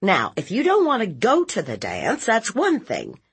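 A woman's voice: medium pitch, huge pitch swings, unhurried, husky, pitched above the performer's own voice, exaggerated voice. A woman voicing a fierce, blunt, protective aunt in a story, scolding in an emphatic, folksy, interrogating way. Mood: commanding.

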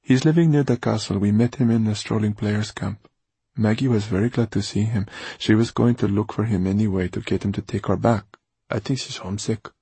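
A man's voice: fairly low pitch, fairly even intonation, brisk, mellow, breathy edge, dry recording, voice pushed up. A man voicing an eager, touchy teenage boy with a defensive, hesitant manner in an audiobook. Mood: earnest. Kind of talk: audiobook character dialogue.

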